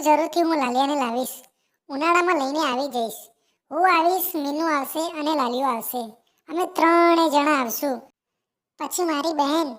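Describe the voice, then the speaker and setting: A woman voicing a cheerful, chatty female sparrow in an animated moral story, gushing and repeating her exclamations. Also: high voice.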